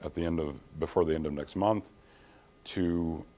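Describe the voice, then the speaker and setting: A middle-aged man giving a technical conference presentation in a dim hall, speaking in a measured way with frequent ums and restarts. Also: rumbling low, steady tone, mellow. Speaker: keynote speaker, engineer. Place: dim conference hall, standing by projector screen.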